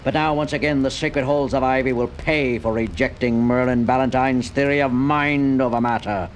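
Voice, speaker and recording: An actor playing a pompous, megalomaniac stage magician villain in a 1970s radio play, grandiose and theatrical. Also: male voice, mid-range voice, fairly even intonation, normal speed, lightly acted. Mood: menacing. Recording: faint hiss of old vinyl.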